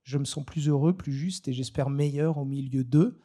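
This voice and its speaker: A man hosting an informal live panel, speaking in a hesitant, halting way. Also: mid-range voice, steady tone, mellow. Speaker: panel moderator.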